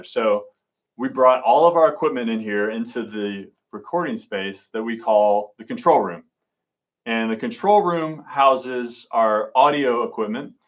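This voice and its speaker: Male teacher-host for a live school broadcast, medium pitch, introductory remarks. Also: poor audio. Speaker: teacher hosting a student broadcast.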